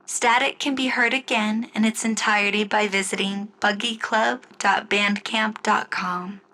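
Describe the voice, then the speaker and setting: A woman narrating and announcing in the style of a 1940s radio drama, with measured storytelling. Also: medium pitch, fairly even intonation, pushed and bright, low-quality recording. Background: music score.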